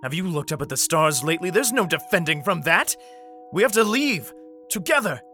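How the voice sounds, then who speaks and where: A man's voice: fairly high pitch, very animated, a little fast, bright, forceful tone, faint music behind. An actor playing an anxious, haunted father in a sci-fi audio drama, with an intense, theatrical delivery. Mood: pleading, desperate. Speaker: lead actor and narrator.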